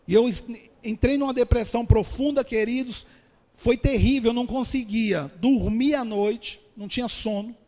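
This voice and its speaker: High-voiced Brazilian pastor in echoing hall. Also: male voice, mellow. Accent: Brazilian Portuguese. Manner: addresses listeners as dear ones and brothers, dramatic slowdowns. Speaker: pastor giving testimony. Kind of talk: sermon testimony to a congregation. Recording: long hall reverb.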